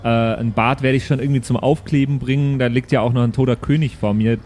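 A man's voice: mid-range voice, steady tone, fast talker, a little mellow. A man chatting casually as a player in an improvised tabletop game.

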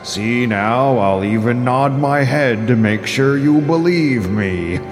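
Slow, cavernous, grumbling god king, acted by a man. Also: medium pitch, expressive. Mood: troubled, reluctant. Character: grumbling, troubled king of the gods, henpecked but commanding. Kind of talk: audio drama of ancient Greek epic. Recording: long cavernous reverb with music behind.

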